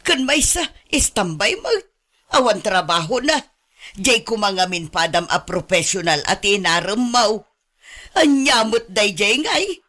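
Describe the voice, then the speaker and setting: A woman's voice: medium pitch, expressive, measured pace, fairly bright tone. A clingy, sarcastic elderly mother. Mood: pleading, fretful. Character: doting, possessive elderly mother, sarcastic toward her daughter-in-law. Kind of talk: radio drama acting in Ilocano.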